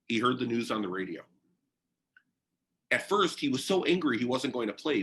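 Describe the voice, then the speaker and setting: A man's voice: mid-range voice, a bit bright. A man reading a biography aloud as narration, in the style of a book for young listeners.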